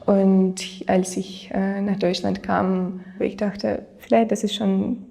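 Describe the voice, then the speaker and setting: A woman's voice: medium pitch, level tone, gravelly, dark tone. An eighteen-year-old woman from Ukraine, speaking hesitantly with many fillers in a documentary interview. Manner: hesitant, many fillers, slow and searching for words. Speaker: interviewee, dancer.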